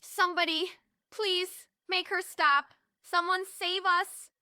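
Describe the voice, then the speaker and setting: A woman plays a fragile, fearful young dreamer who pleads for rescue. Her voice is very high and piercingly bright, and the words come slowly, in the style of a stage drama reading. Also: steady tone, no room sound, studio quality, heavily acted. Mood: pleading. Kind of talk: script table-read acting.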